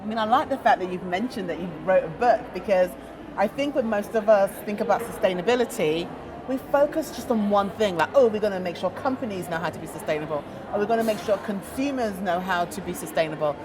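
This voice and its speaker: A woman's voice: medium pitch, quick pace. A woman interviewer who is chatty and opinionated, leading her guest with her own comments and questions. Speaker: interviewer, founder and CEO. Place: trade fair. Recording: busy crowd noise behind.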